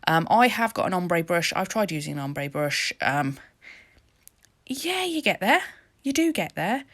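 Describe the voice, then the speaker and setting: Woman, chatty voice-over, giggly, self-deprecating, lively pitch. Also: unhurried. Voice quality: slightly deep for a woman. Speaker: craft channel host. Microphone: voice-over mic.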